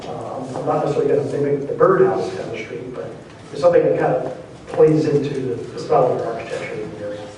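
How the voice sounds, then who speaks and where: A man's voice: medium pitch, quick pace, mellow. A man presenting a housing development at a public meeting, explaining patiently and often hedging with "kind of". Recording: echoey hall from far off, faint noise.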